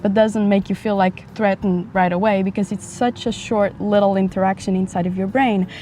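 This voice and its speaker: A woman in her twenties from Portugal, chatting frankly in a casual vlog, her voice a bit raspy from a dry throat, recorded outdoors near a highway. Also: steady tone, moderate pace, warm tone. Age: 20s. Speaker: vlogger. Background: passing motorcycles and traffic.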